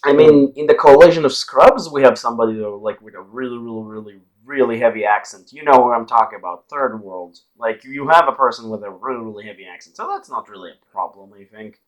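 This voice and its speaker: A man with an Israeli accent hosts a casual online chat at a middle pitch. He talks loosely and jokes around, tripping over his words and restarting often, over a home computer mic on a voice call. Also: brisk, few pauses, rough recording. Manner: loose, joking, lots of fillers and restarts. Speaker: podcast host.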